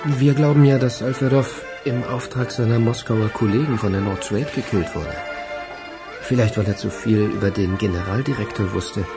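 Easygoing, sly male cop acted by a man for crime radio drama: gravelly, teasing, music underneath. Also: lowish voice, moderate pace, close to an everyday voice. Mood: teasing, businesslike. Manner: teasing, conspiratorial.

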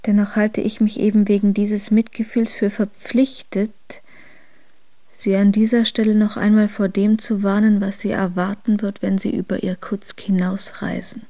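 A woman reading historical letters in a radio drama, in a formal, measured way. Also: mid-range voice, flat delivery, warm tone, no echo, close to an everyday voice. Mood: formal, cautioning. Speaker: letter reader.

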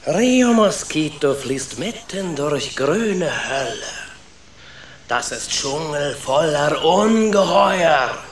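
An actor voicing a gruff, proud foreign rat with a Spanish accent and broken grammar, telling tales dramatically in a children's radio play. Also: male voice, fairly high pitch, expressive, slow. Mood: ominous storytelling. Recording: echoey room with noticeable background noise.

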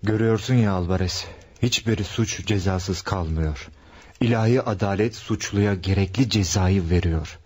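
An actor in a radio play voicing a stern, moralizing police sergeant. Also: male voice, deep voice, a little mellow, breathy edge. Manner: authoritative, moralizing. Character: stern police sergeant, middle-aged man.

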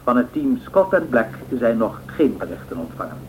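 A man acting a formal, factual 1930s radio newsreader, measured, through an old radio filter. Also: mid-range voice, sing-song, noisy background. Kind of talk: 1930s news bulletin in radio drama. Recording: tinny narrow-band radio sound.

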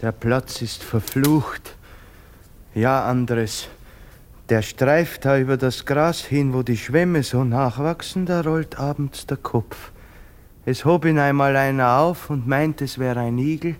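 An actor playing a poor, humble soldier in a radio drama, haunted and fearful, with halting, plain folk speech. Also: male voice, medium pitch, close to an everyday voice. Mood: haunted, agitated.

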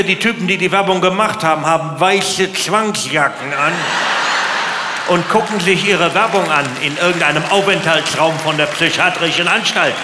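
A man doing stand-up comedy with exaggerated indignation, throwing rhetorical questions at the audience. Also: fairly high pitch. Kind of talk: stand-up comedy routine with rants. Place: large indoor live comedy venue. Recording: echoey hall, noisy live audience.

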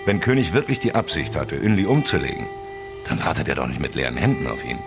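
A gloomy investigator in a radio crime drama, with a very deep voice. He talks tersely and dryly, always probing with questions. Music plays clearly behind him. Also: sing-song, fast talker, full character voice. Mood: pondering. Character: gloomy, probing male investigator with a troubled private life. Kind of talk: naturalistic German radio crime drama acting.

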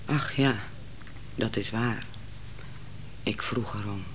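A man's voice: lowish voice, steady tone, slow, fairly bright tone. A man playing a weary, brooding royal in a Dutch radio drama, troubled by omens. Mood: subdued. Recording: noisy old radio drama recording.

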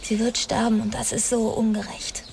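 An actress playing a bitter, wounded young woman making a halting confession in a radio drama. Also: fairly high pitch, flat delivery, gravelly, darkish tone, slight background noise. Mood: bitter, defiant. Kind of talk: dramatic radio play dialogue.

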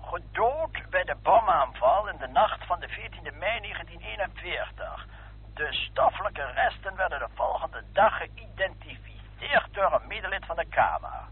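A man's voice: high voice, big pitch swings, moderate pace, husky, narrow, tinny audio, full character voice. An actor playing a polite, hesitant, fussy male library clerk speaking on the phone in a vintage Dutch radio play. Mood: obliging. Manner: hesitant, formal, obliging. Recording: thin telephone sound, vintage radio recording.

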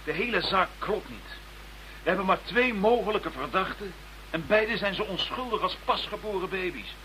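A sceptical detective in a radio play. The voice is bright and a little high for a man, direct and matter-of-fact, over slight recording noise. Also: expressive, moderate pace, low-quality recording. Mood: frank, puzzled. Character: sceptical male police investigator, frank and probing.

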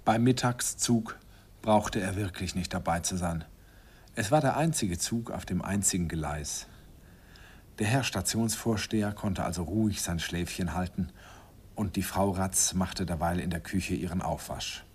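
A man's voice: low voice, a little mellow, husky. An actor narrating a radio play as a middle-aged man fondly recalling his first love, in a wistful, reminiscing way.

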